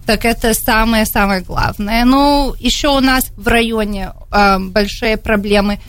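A woman's voice: a little high, steady tone, a little slow, clean tone. A woman with an American accent, a candidate answering radio interview questions, hesitant and often searching for words. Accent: American English. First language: English. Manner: hesitant, searching for Russian words, many fillers. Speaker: city council candidate. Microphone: studio microphone.

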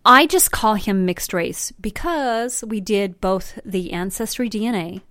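A woman's voice: medium pitch, measured pace, bright, forceful tone, dry recording, studio quality. A middle-aged woman from Colorado, a podcast guest, chatting loosely and candidly after a drink. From Colorado, USA. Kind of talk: casual chat.

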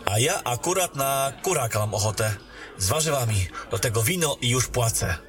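A man voicing a weary warrior back from a mission in a fantasy audio drama, speaking in a calm, matter-of-fact way. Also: medium pitch, normal speed, soft background music, close to an everyday voice. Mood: friendly.

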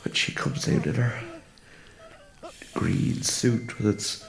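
An actor playing a tormented, bitter injured fire officer in a radio drama, with a halting, breathy, gravelly delivery. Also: male voice, on the low side, animated, echoey room, exaggerated voice, poor audio. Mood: wary, dreading. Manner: halting, brooding interior monologue.

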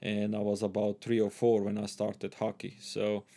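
Halting man, lowish voice. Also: monotone, gravelly, mellow. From Transylvania, Romania. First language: Hungarian. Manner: halting, many restarts and fillers, laughs often. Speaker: interview guest, gym owner. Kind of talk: casual interview.

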